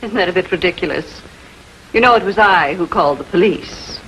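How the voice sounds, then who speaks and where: An actress playing a haughty, defensive widow suspected of murder, dramatic and indignant in 1940s television crime drama style. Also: female voice, on the low side, fairly quick, a bit bright. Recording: noisy 1940s film soundtrack.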